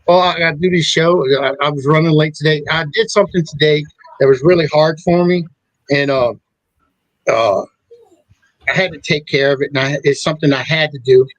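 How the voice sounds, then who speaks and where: A middle-aged man hosting a talk show, speaking in a rambling, halting way with many restarts. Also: a little high, no room sound, poor audio. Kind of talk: casual chat.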